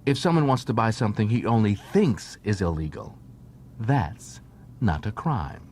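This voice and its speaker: A man's voice: very animated, moderate pace, a little mellow. A man voicing a wily, flippant female con artist with a breezy, teasing, evasive manner in an audiobook. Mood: sly, flippant. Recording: noisy track with low rumble.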